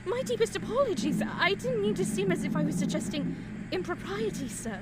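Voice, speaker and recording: A woman acting a caring, earnest young gentlewoman of old Vienna, speaking formally and apologetically in a period audio drama. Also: very high voice, sing-song, a little fast, over loud music. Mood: flustered, apologetic. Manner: formal, genteel, apologetic speech.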